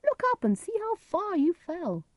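A woman's voice: very high-pitched, huge pitch swings, normal speed, no echo, clean studio sound, pitched above the performer's own voice, exaggerated voice. A woman voicing a nobleman's son disguised as a strange helper, exclaiming and coaxing in a storybook tale. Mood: astonished, coaxing.

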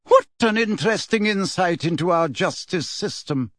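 A man doing a crisp, stern old woman professor. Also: sits high, animated, moderate pace, fairly bright tone, dry recording, clean studio sound, voice pushed up. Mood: sardonic. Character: stern, dry-witted older woman professor.

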